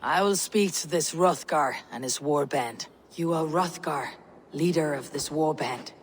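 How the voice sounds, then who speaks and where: A woman's voice: sits low, measured pace, a crowd in the background. A woman playing a stern, stoic female Viking warrior in a video game. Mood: stern, probing. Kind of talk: dramatic video game acting.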